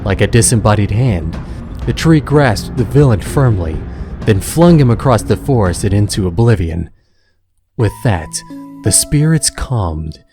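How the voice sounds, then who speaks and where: A man's voice: lowish voice, lively intonation, measured pace, over music. A man giving scripted, dramatic narration of an adventure story as the narrator.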